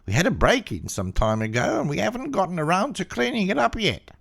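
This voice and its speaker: A man voicing a fretful old man with a shrill, high-pitched voice. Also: big pitch swings, fairly quick, vocal fry, a bit bright, exaggerated voice. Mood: anxious. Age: 60s. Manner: shrill, anxious pleading.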